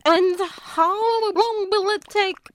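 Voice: very high voice, fairly even intonation, slow, fairly bright tone, exaggerated voice. A performer with a female-sounding voice playing a cartoonish pet animal in a comedy radio play, drawing out the words. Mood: plaintive.

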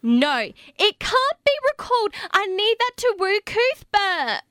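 A woman's voice: extremely high pitch, big pitch swings, measured pace, a bit bright, dry recording, clean studio sound, heavily acted. A woman playing a naive, earnest young woman in an audio sitcom, with a dramatic manner. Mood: dismayed.

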